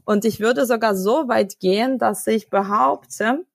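A woman's voice: on the high side, unhurried, creaky, no room sound, studio quality. A woman, a data science expert explaining at length as a podcast guest, with frequent fillers and restarts. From Ukraine. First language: Russian. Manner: thoughtful, many fillers and restarts. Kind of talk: podcast interview.

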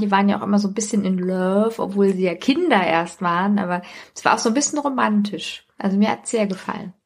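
Female podcast speaker, medium pitch, casual conversational delivery, chatty and anecdotal. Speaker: podcast co-host or guest.

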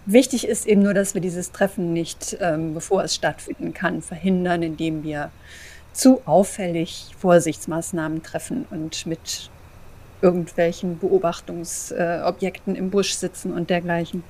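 Composed female vampire, woman player, slightly low, dark timbre, measured, respectful and formal, for role-play audio drama. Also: steady tone. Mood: composed, cautious.

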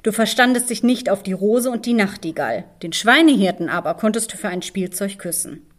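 A woman's voice: medium pitch, animated, a little fast, close to an everyday voice. A woman voicing a proud young prince disguised as a swineherd, firm and reproachful, in a storybook telling.

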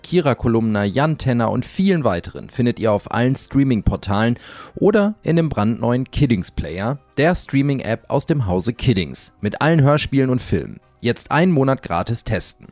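A man's voice: medium pitch, darkish tone, no echo. A man reading a scripted advertising voice-over as a promo announcer. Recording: dry studio voice over music. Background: music.

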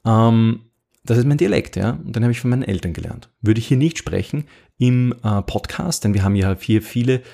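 Gravelly Austrian man chatting on a podcast. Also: medium pitch, fairly quick, mellow. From Upper Austria. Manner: chatty, frequent fillers and restarts, rhetorical "ja?". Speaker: podcast host. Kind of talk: casual podcast talk.

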